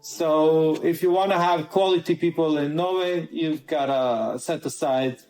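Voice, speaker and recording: A man's voice: a little high, steady tone, a little slow, a little mellow, roomy sound, soft background music, rough recording. A man from Croatia with a Croatian accent, a guest in a conversational interview, earnestly laying out his points in order.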